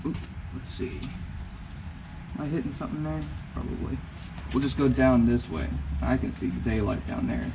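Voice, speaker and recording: Man, mid-pitch, low-key mutter mid-task, dull lo-fi sound, clear background noise. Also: normal speed, long pauses. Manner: muttering to himself, mildly frustrated. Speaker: DIY vehicle modder. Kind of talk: casual narration while working. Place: in and under a Jeep.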